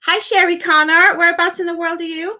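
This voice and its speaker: A woman's voice: very high-pitched, flat delivery, a little fast, bright, forceful tone. A woman hosting a live phone-in as a psychic medium, effusive with endearments, relaying messages to a caller. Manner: effusive, uses endearments and blessings; relays messages in quoted asides.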